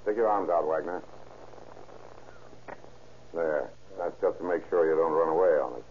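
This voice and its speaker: An actor playing a brusque, impatient, slangy police detective in a 1930s radio crime drama. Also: male voice, low-pitched, normal speed, close to an everyday voice. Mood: businesslike. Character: hard-boiled, impatient male police detective. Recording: tinny, faintly noisy old radio recording.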